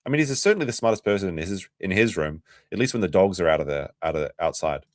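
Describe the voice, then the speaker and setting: A man's voice: sits low, animated, quick pace, no room sound, studio quality. An Australian man hosting a casual livestream, chatty and sarcastic, reacting to clips and laughing often.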